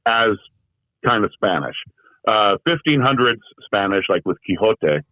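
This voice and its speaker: Low-voiced man on a tinny call line. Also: lively intonation, unhurried, dry recording. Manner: thoughtful, rambling, frequent fillers and restarts. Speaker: guest, translator. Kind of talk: casual conversational interview. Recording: tinny phone-like remote call audio.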